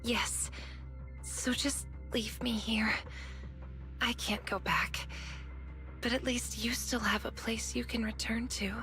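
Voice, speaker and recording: An actress playing a drowsy, fatalistic young woman fighter in a video game, with a soft voice. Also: a little high, steady tone, takes it slowly, long pauses, rough recording. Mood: gloomy. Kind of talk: English video game voice acting. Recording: faint music behind.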